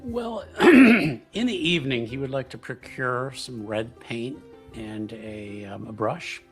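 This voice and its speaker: Man, game stream host, casual, hesitant stop-and-start phrasing, medium pitch, faint music behind. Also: lively intonation, slow. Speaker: player and host of a roleplaying stream. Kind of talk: casual livestream game chat.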